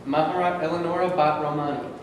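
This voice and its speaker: A man's voice: a little high, level tone, close to an everyday voice. A man reading a formal court mediator, far off in a hall. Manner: formal, measured, officious. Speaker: actor in a staged reading. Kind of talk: staged reading of a play. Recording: echoey hall from far off, background noise.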